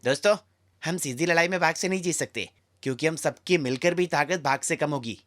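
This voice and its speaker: Man as a bright, fearless little magician mouse. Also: fairly high pitch, fairly quick, natural voice. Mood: determined. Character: small fearless male magician mouse, cheerful schemer.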